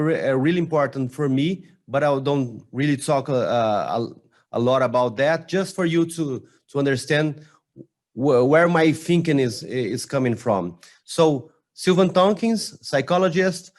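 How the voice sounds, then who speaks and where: Slow, halting Brazilian-accented man. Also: mid-range voice. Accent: Brazilian Portuguese. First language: Portuguese. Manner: slow, halting, with frequent restarts and fillers. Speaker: conference speaker. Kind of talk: online conference presentation.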